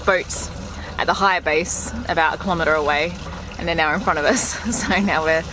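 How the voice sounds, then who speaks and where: A woman's voice: low voice, steady tone, normal speed. Chatty woman vlogging on a boat. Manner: chatty, candid, explains as she works. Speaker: sailing vlogger. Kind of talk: casual vlog talk to camera. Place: outdoors on a boat deck on a canal. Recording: outdoor recording with loud engine and wind noise. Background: loud boat engine and wind noise.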